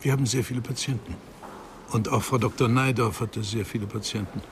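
A man's voice: medium pitch, steady tone, brisk, noisy background, lightly acted. Dignified, guarded professor played by a man. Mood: guarded, grave. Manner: careful, formal, discreet. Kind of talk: German radio crime drama acting.

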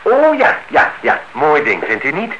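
An actor playing a courteous, evasive married neighbour in a Dutch radio play, smooth-talking and guarded. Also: male voice, a little high, wildly swooping pitch, a little slow. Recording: dull, noisy old radio recording.

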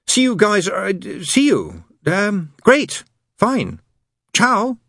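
A man's voice: huge pitch swings, a bit bright, no echo, clean studio sound, full character voice. A man voicing a nervous male demon who babbles and tries hard to please. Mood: jittery. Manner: fast, jittery, high-pitched babble.

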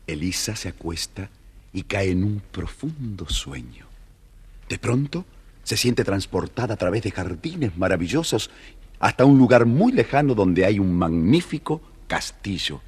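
A man narrating a scripted children's story in a measured, dramatic storyteller's manner. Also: on the low side, sing-song, darkish tone, slightly rough.

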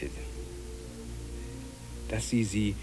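An actor in a radio drama playing a devoted cockroach husband, anxiously optimistic, who reassures in a hesitant, earnest, self-correcting way. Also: male voice, on the low side, level tone, very slow, music in the background. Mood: earnest, hopeful.

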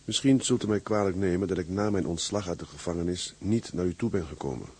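An actor playing an earnest, weary sea captain in a radio drama, speaking in a sober, measured, plain way. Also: male voice, deep voice, a little mellow, breathy edge. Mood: grave, resigned. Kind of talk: Dutch radio drama acting.

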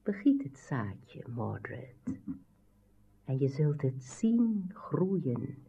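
A menacing, imperious aunt steeped in sorcery and plotting. Her delivery is slow and deliberate, commanding every word in radio-play fashion. The female voice comes through a narrow, tinny old recording. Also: low-pitched, sing-song, creaky, mellow, slightly rough, exaggerated voice. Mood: coldly scheming. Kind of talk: radio drama acting.

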